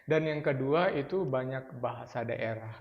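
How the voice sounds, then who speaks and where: A man's voice: medium pitch, moderate pace. A man from Sumatra in Indonesia, chatting casually and thoughtfully about his travels, slipping in English phrases. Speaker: traveller sharing impressions. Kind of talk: casual chat. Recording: dull lo-fi sound.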